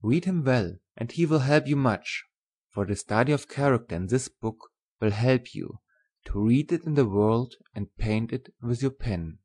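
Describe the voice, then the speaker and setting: A man's voice: mid-range voice, lively intonation, measured pace, a little mellow, slightly rough. A man playing a kindly, earnest German professor in an audiobook, with foreign, unidiomatic phrasing. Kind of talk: dramatised audiobook reading.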